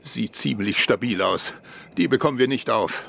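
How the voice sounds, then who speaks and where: Cautious police chief with a gravelly creak. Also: male voice, medium pitch, normal speed, fairly bright tone. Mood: dry, watchful. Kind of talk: German radio drama acting. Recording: studio radio play with clear background noise.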